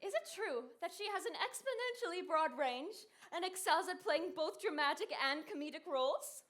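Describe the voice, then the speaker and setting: Very high-voiced coy fake Frenchwoman. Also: animated, moderate pace, fairly bright tone. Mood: coy, fishing. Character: glamorous, vain bride posing as coy Frenchwoman. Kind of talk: musical comedy stage acting. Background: faint music.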